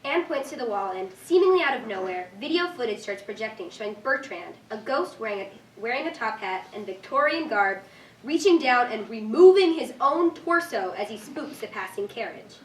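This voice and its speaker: Distant mic, roomy echo, woman narrator, scripted stage directions, fairly high voice. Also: animated, moderate pace. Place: room with live reading. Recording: echoey room, distant mic, faint noise.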